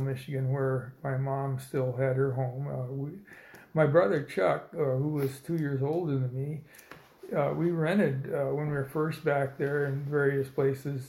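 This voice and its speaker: A man in his seventies from Indiana, telling his life story slowly in an oral history interview, with many pauses and fillers. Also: medium pitch, darkish tone, roomy sound, poor audio. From Indiana, United States. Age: 70s. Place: old building, a former dormitory.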